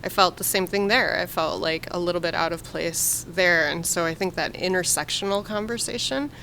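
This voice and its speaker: A woman's voice: fairly low pitch, moderate pace, gravelly, pushed and bright, slight background noise, poor audio. A woman in her thirties hosting a podcast, asking curious, thoughtful questions. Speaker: podcast host. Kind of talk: casual interview.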